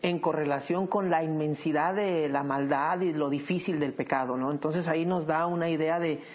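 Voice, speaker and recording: A man hosting a Bible study program, leading the discussion in a measured teaching tone. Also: on the high side, fairly even intonation.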